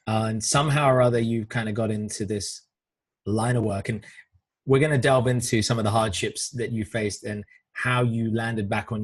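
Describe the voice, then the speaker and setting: A man's voice: mid-range voice, breathy edge. A male host asking long, rambling questions in a jovial, laughing interview manner. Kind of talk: casual interview talk.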